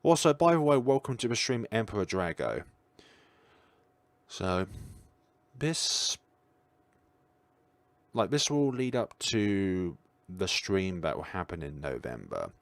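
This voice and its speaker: A man's voice: low-pitched, sing-song, slow pace, pauses often, no room sound. A tired man musing aloud in a casual livestream chat, answering his viewers' questions as he goes. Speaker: streamer.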